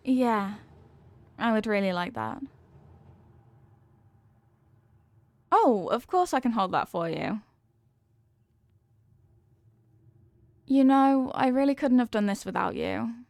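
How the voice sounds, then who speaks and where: A sweet headless horsewoman played by a woman speaks in a fairly high voice, close and dry. She is a devoted, giddy girlfriend in an intimate ASMR audio roleplay. Her talk is playful and full of affection. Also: animated, measured pace, vocal fry, pauses often, darkish tone, no room sound. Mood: tender, wistful.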